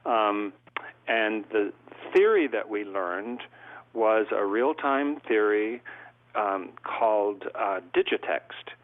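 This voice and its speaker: A low-voiced middle-aged man from California speaks over a thin, phone-like line. He answers at length, thoughtful and unhurried, as a guest telling how he got into court reporting. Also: lively intonation. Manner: thoughtful, long storytelling answers. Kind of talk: conversational interview.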